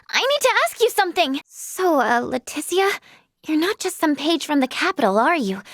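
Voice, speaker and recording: A very high, girlish voice for a plucky young healer in a video game. She is earnest and polite, with an expressive, informal delivery. The recording is dry and close. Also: dry recording. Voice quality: girlish, youthful. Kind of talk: scripted game character dialogue.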